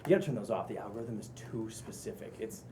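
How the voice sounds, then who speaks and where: A woman's voice: rumbling low, quick pace, background noise. A woman playing a wry, easygoing male roommate at a comedy table read, casual and sardonic. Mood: wry, amused.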